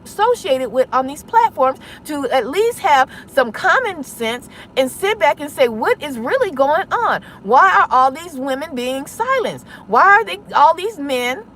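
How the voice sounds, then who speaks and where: A woman's voice: high voice, fairly bright tone, slight background noise. A woman delivering an impassioned public address online, insistent and confrontational, pressing her points with rhetorical questions. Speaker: online content creator.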